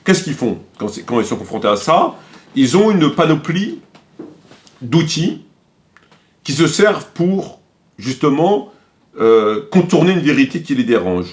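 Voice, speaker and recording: A man's voice: fairly high pitch, very animated, unhurried, pauses often. A man speaking off the cuff in a didactic, polemical way, often repeating words as he searches for the next one. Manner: polemical, didactic, step-by-step explaining. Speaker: commentator. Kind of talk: unscripted religious-political commentary.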